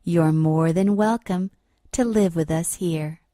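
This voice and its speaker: A woman's voice: fairly low pitch, takes it slowly, warm tone, no echo, clean studio sound. A woman voicing a funny little dwarf man in a storybook, cheerful, friendly and welcoming.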